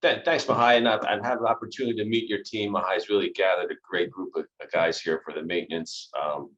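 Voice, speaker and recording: A man's voice: low-pitched, fairly even intonation, quick pace, fairly bright tone. A middle-aged man hosting a video call from a small office, introducing staff with upbeat thanks and frequent repeated words. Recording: dull video call audio.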